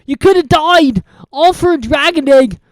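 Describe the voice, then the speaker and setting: A reader voicing a huge, soft-hearted, bearded male groundskeeper who talks loudly and roughly. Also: mid-range voice, moderate pace, dry recording, voice pushed up, natural voice. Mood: sobbing. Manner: loud, blubbering and rough-spoken.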